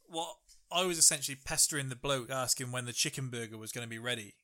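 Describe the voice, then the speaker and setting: A man's voice: medium pitch, steady tone, fast talker, bright, forceful tone. A man hosting a podcast, reading out odd news stories and telling jokey tales in casual chat. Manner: chatty, jokey storyteller. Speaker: podcast host.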